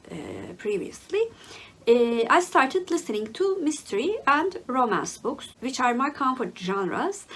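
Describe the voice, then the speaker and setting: Middle-aged female book host, Turkish accent, hesitant casual delivery, pitched slightly high. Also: a bit bright. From Turkey. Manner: halting, frequent uh fillers between phrases. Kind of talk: casual monologue to camera.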